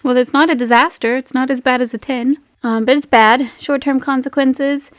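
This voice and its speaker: A woman's voice: high voice, fairly even intonation, moderate pace, dry recording, clean studio sound. A woman game master narrating a tabletop roleplaying game in a casual, giggly, playful and conversational way.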